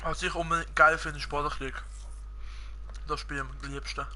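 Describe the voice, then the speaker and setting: Young Swiss male streamer, bright slightly high voice, rambling casual chat, faint room noise. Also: flat delivery, normal speed. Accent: Swiss German. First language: Swiss German and Italian. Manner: rambling, chatting with viewers, frequent fillers. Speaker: gaming streamer. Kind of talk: casual live-stream chat while gaming.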